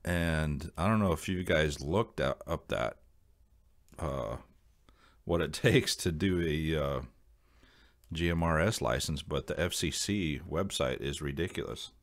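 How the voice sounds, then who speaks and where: A man talking casually to viewers as a video host, explaining things in a rambling, halting way. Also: very deep voice.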